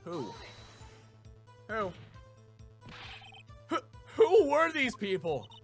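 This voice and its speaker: Man voicing an excitable young male defense attorney. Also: high-pitched, drawn-out words, music in the background, pitched above the performer's own voice, heavily acted. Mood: incredulous shock. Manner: stammering, incredulous, pressing.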